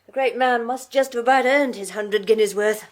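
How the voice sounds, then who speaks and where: An actress with a British accent playing a dry, sardonic secretary in a 1980s radio drama, wry and clipped. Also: female voice, a little high, normal speed, noisy background, close to an everyday voice.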